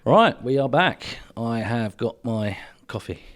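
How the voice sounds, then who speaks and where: A man with an English accent co-hosting a podcast indoors by a fire, talking in a relaxed, soft-spoken, rambling way. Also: medium pitch, unhurried. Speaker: podcast co-host. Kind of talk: casual chat.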